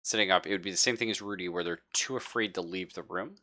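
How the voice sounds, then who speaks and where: A man with a low, bright voice runs a tabletop roleplaying game as game master. He improvises casually, pausing to think aloud and sometimes swearing. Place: live online stream.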